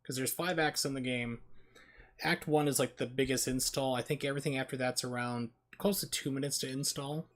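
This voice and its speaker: A man's voice: mid-range voice, fairly even intonation, fairly quick, fairly bright tone. A man doing casual, wry live commentary while streaming a video game. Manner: wry, joking asides. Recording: clear background noise.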